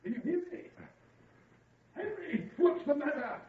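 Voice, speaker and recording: A man's voice: very high voice, animated, measured pace. An actor playing a worried, commanding father of a scientist in an old-time radio drama, urgent, imploring and firm. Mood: frantic, shouting. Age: middle-aged or older. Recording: muffled, band-limited vintage radio recording.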